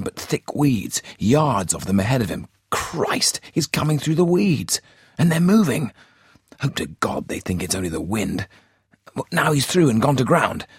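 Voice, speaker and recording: Man doing a jittery male army captain, high strained voice, breathless urgent commentary, husky edge, dry close sound. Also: dry recording.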